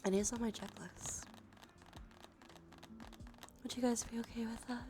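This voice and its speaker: A woman streamer chatting softly in an ASMR stream, often dropping into a whisper. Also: on the high side, fairly even intonation, a little slow, noisy background. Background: music.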